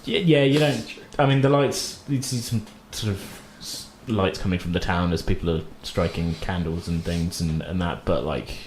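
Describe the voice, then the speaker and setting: A man's voice: low voice, moderate pace, few pauses, a little hiss or hum. A man hosting and game-mastering a tabletop roleplay podcast in an informal, casual, slightly hesitant way, rephrasing as he goes.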